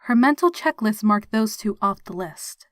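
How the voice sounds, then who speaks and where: A woman's voice: mid-range voice, expressive, normal speed, no room sound, studio quality. A woman reading a horror story aloud as a scripted, dramatic narrator. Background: background music.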